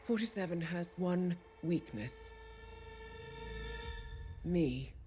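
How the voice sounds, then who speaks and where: Poised female handler, low voice, lively intonation, calculating, measured, game cutscene, music underneath. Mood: cool, menacing. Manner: measured, cool, deliberate. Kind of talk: dramatic video game cutscene dialogue.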